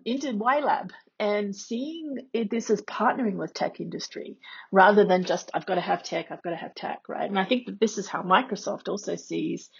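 A woman speaking conversationally as an expert commentator, reflecting on a podcast interview, with a husky, creaky voice. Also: fairly low pitch, lo-fi sound.

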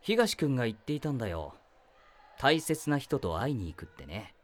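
A man's voice: mid-range voice, big pitch swings, moderate pace, lightly acted. A man playing a wry, meddling teacher. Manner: wry, lecturing, gently probing. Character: teasing, meddling adult male teacher. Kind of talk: voice drama acting.

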